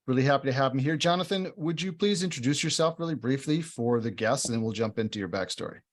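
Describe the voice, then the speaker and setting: A male podcast host with a middle-pitched voice leads the interview. He asks probing follow-up questions in a relaxed, conversational way and sometimes restarts his sentences. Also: quick pace.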